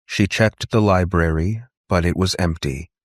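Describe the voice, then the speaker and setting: A man with a deep voice reads a dramatic story. He takes his time, measured and deliberate with each phrase. The recording is dry, with no room around the voice. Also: dry recording. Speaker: audiobook narrator. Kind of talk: scripted dramatic story narration.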